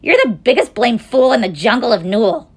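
A sour kangaroo mother from a children's storybook, acted by a woman. Her voice jumps high and swings widely as she scoffs. She is scornful and emphatic, looking down on everyone. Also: expressive, moderate pace, slightly rough.

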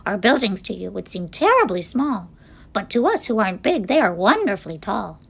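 A woman's voice: medium pitch, sing-song, normal speed, creaky, slightly rough, full character voice. A woman voicing a tiny, friendly storybook mayor, piping, polite and eagerly grateful. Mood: friendly, proud.

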